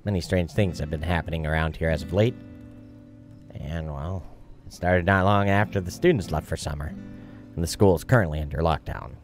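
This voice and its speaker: A man voicing a courteous, solemn ghostly captain from a video game, formal and measured. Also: low-pitched, close to an everyday voice. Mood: grave. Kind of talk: voiced game dialogue. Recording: music behind.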